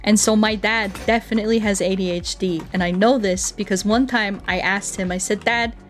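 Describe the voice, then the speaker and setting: Expressive woman chatting over faint music. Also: medium pitch, moderate pace. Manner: expressive, playful, acts out quoted dialogue. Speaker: game streamer chatting with viewers. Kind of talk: casual chat, storytelling.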